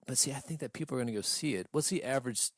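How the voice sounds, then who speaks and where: A man's voice: medium pitch, racing, dry recording. A man co-hosting a geek-culture podcast, bantering in a blunt, slangy way with frequent swearing. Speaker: podcast co-host.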